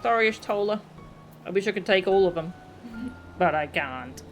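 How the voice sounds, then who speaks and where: A woman giving casual, expressive live commentary as she streams a game, reacting with playful indignation. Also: mid-range voice, normal speed. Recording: game music behind the voice. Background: game music and character voice lines.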